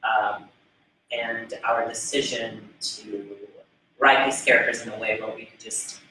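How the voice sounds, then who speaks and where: A Brazilian man with a New York-tinged accent, hosting a casual cast discussion with rambling, animated stories. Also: lowish voice, unhurried. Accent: Brazilian, New York influenced. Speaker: interviewer and film writer. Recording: echoey room, mic at a distance, faint noise.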